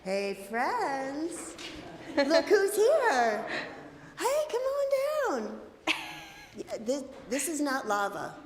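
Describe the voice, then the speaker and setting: Woman worship leader, playful and high-voiced, in an echoey sanctuary. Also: wildly swooping pitch, extremely slow, long pauses, slight background noise. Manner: warm, playful, inviting children. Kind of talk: leading a children's time in church. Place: church sanctuary. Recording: echoey sanctuary with long reverb.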